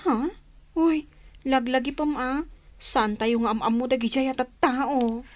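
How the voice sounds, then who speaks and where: Woman acting high-pitched, inquisitive Ilocano confidante. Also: steady tone, a little slow. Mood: curious, concerned. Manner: inquisitive, reactive. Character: curious, sympathetic young woman, village confidante. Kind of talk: Ilocano radio drama acting.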